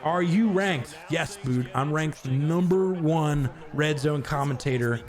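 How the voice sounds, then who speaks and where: Excitable male game-stream commentator. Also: slow pace. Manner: excitable, jumps to high shouts on big plays; chats with viewers between plays. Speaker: stream host and play-by-play commentator.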